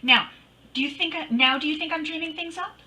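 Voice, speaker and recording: A woman's voice: high-pitched, fairly quick, sharp tone, far from the mic. A woman playing a wry, wisecracking private detective in an old-time radio drama. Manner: wry, snappy.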